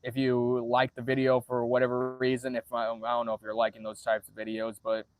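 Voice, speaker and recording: A man chatting casually on a livestream in a monotone voice, halting often as he restarts phrases and swearing freely. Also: medium pitch, no echo, low-quality recording. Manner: frequent swearing. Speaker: livestreamer answering viewer chat.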